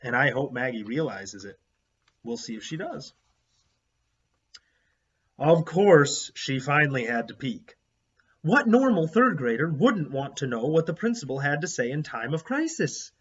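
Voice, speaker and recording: A man reading a children's book aloud like a teacher, in an animated, emphatic storytelling way with asides to young listeners. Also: mid-range voice, measured pace, pauses often, breathy edge, lo-fi sound.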